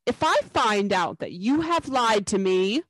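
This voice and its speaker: A woman's voice: mid-range voice, animated, measured pace, no echo, rough recording. A woman voicing a cruel witch queen with a commanding, menacing manner for a storybook.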